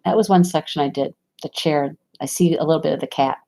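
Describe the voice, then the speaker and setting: A woman talks in a rambling, easygoing way while she works on a craft project. Her voice sits a little low, and her nose is stuffy and sniffly from a cold. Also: fairly even intonation, fast, mellow. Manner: rambling, chatty, laughs often. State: stuffy nose from a sinus infection. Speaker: diamond painting livestream host. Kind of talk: casual chat while crafting.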